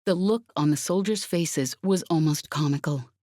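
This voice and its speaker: A woman's voice: deep voice, moderate pace, warm tone, dry recording, clean studio sound. A woman reading an audiobook, narrating fiction in a dramatic scripted style.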